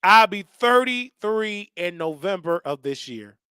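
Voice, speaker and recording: A man in his thirties hosting a casual livestream, ranting in loud, repetitive bursts with sudden shouts. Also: sits high, animated, measured pace, clear, resonant tone, dry recording, studio quality. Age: 30s. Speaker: show host.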